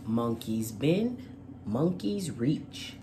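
A man's voice: mid-range voice, big pitch swings, very slow, background noise. A man presenting and reading aloud as host of a children's storytime, with a courteous manner. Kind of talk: storytime read-aloud and channel chat.

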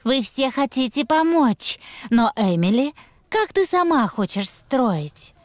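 High-voiced cartoon gazelle teacher. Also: female voice, big pitch swings, slow, no echo, faint music behind, exaggerated voice. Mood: patient, encouraging. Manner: patient, clearly addressed classroom instruction. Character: kindly adult female gazelle schoolteacher.

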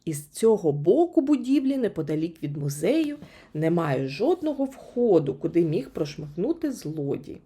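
A woman reading a children's story as an expressive audiobook narrator. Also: fairly low pitch, moderate pace, husky.

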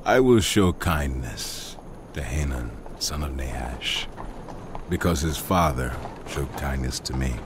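An actor playing a grave, kindly king in a dramatized scripture audiobook, speaking in a measured, deliberate way. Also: a bass voice, expressive, heavily acted. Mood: kindly, resolved. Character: King David, grave kindly adult male monarch. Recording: clearly noisy ambient background.

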